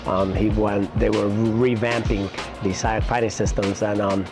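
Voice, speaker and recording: A middle-aged man, talking casually and haltingly in an interview as a martial arts instructor, with many pauses. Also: fairly low pitch, flat delivery, music in the background. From Puerto Rico-born, raised in New York City.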